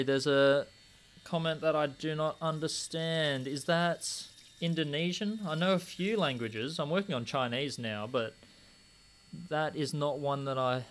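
A man's voice: a little high, fairly bright tone, slight background noise. A young man hosting a live stream from a small home desk corner, chatting casually and answering chat comments aloud while he works. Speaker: stream host polishing gemstones.

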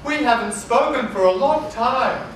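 A woman on stage playing an affectionate neurologist and old friend, with deliberate, projected delivery. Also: a little high, expressive. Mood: warm, surprised. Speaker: stage actor. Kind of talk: live stage play dialogue. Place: theatre stage before a live audience. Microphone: far camera. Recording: very echoey noisy hall, far off.

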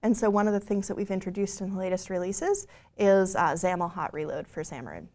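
A woman in her forties explaining software features on a studio stage, fluent but full of "you know" fillers and small restarts. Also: medium pitch, big pitch swings, a little fast. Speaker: product lead presenting the software. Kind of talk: scripted-feeling tech keynote conversation. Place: bright studio stage with screen behind.

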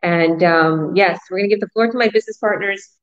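A woman hosting an online business talk over a video call, pitching with enthusiastic, repetitive sales energy. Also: brisk. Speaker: host. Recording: muffled video call audio.

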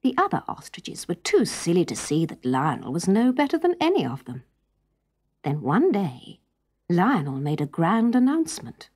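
A woman's voice: lowish voice, huge pitch swings, normal speed, darkish tone, husky. A woman narrating a children's storybook as a storyteller.

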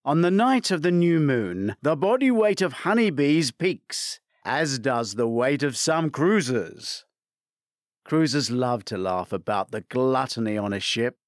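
A man reading a crime thriller aloud as scripted narration, measured and theatrical, lifting his voice for quoted commands. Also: mid-range voice, animated, no echo, clean studio sound. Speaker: audiobook narrator.

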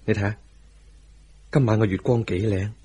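A young husband in a Hong Kong Cantonese radio drama. His voice is lowish and husky, and he is affectionate but assertive, quietly insisting on his way. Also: fairly even intonation, normal speed. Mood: intimate, insistent.

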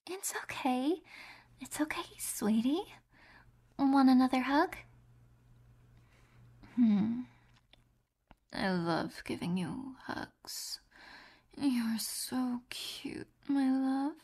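Woman doing a clingy, possessive captor girl in an audio roleplay, husky whisper with fry, slow and cooing. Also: high-pitched, expressive, full character voice. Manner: soft whispering, cooing pet names. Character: two doting, possessive young women captors.

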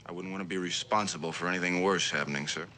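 An actor playing an earnest, cautious young lieutenant in a vintage television drama, formal and deferential. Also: male voice, sits low, fairly even intonation, fast talker, bright, forceful tone. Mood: formal, guarded. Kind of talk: 1960s television drama acting. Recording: noisy old drama soundtrack.

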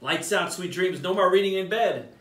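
A man plays a storybook mama monkey, stern and fed up. The scolding is raised in pitch, singsong and emphatic, in a roomy-sounding space. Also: normal speed, a bit bright. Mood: exasperated. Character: weary, exasperated mother monkey scolding her young. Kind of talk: storybook character voice. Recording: roomy echo, distant mic.

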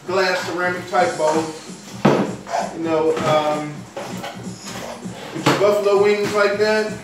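A middle-aged man co-hosting a home cooking video, chatty and teasing. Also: high voice. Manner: laid-back, chatty, teasing. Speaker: cooking show co-host. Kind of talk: casual home cooking chat. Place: home kitchen, indoors. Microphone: far camera. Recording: echoey kitchen, far mic, music behind.

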